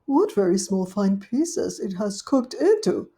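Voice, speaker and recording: A woman's voice: mid-range voice, sing-song, unhurried, mellow. A woman voicing an anxious, greedy little female dog guest in a storybook reading, eager and fussy.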